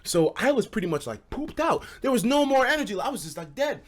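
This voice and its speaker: A man's voice: very high-pitched, a little fast. A young man with an American accent telling a casual story to a live chat, slangy and profane, acting out the dialogue as he goes. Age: young adult. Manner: animated, slangy and profane, acts out quoted dialogue. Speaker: streamer.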